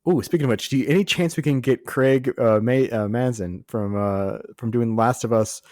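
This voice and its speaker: A man with a mid-pitched voice chats casually as a podcast co-host. He tells things in a jokey way, with little exclamations along the way. The sound is dry, with hardly any room on it. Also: moderate pace, a little mellow, no room sound. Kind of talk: casual film and TV news chat.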